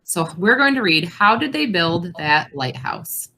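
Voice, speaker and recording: Lower-pitched woman reading aloud to kids. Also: sing-song, a little slow, gravelly, bright, forceful tone. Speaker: read-along presenter. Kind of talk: reading a children's nonfiction book aloud.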